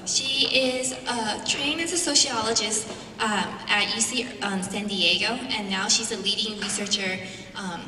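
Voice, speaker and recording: A woman's voice: medium pitch, noisy background. A woman moderator introducing the next presenter at a symposium, with many ums and small stumbles. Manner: reads introduction with many ums and a self-correction. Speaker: event moderator. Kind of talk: speaker introduction. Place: large lecture hall. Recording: echoey hall, far from mic.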